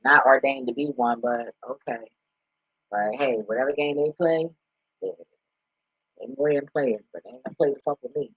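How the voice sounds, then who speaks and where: Husky, blunt man in a roomy, muffled recording. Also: a little fast, long pauses. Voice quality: husky, deep voice. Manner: blunt, profane, streetwise slang. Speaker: online spiritual commentator. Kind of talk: casual, unscripted rambling talk. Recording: roomy, dull lo-fi home recording.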